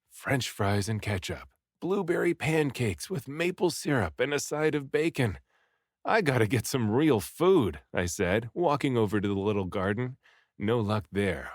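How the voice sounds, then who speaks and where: A man reading a story aloud in an expressive, dramatic first-person narration, acting out the hero's lines. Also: on the low side, no room sound, studio quality. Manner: animated, dramatic, acts out the first-person hero. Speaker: audiobook reader.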